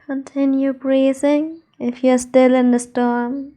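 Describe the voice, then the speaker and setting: High-voiced slow woman guiding meditation. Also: little pitch movement. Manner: soothing, unhurried, gentle instructions with pauses. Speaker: meditation teacher, channel host. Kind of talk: guided meditation.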